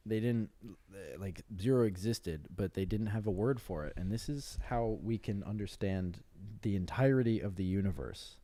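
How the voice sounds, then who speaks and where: A young man co-hosting a comedy podcast, joking and riffing in casual banter with occasional loud mock announcements. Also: lowish voice, animated, moderate pace. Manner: jokey, playful, loud bursts of mock announcements. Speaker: podcast co-host.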